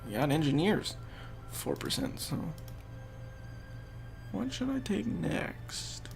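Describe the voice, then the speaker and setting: Young male gaming host, congested and gravelly, rambling play-by-play. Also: a little high, sing-song, slow pace, soft background music. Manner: rambling, thinking aloud while playing. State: congested, losing his voice. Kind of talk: casual gameplay commentary.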